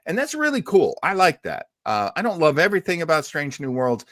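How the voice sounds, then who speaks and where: Animated older man in casual discussion. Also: on the high side, a little fast, no echo, clean studio sound. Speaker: podcast host. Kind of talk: casual fan panel discussion.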